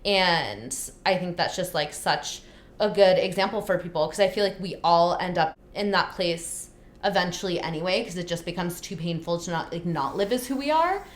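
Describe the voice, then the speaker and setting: A woman's voice: on the low side, animated, moderate pace. A young woman hosting a casual interview, enthusiastic and affirming, with many "like" fillers. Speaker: podcast host. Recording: echoey room.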